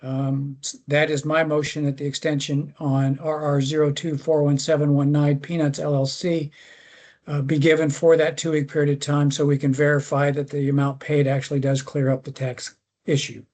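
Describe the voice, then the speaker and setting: Male commission member, fairly high voice, flat delivery, halting procedural phrasing. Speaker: commission member presenting permit cases. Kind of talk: formal public meeting discussion.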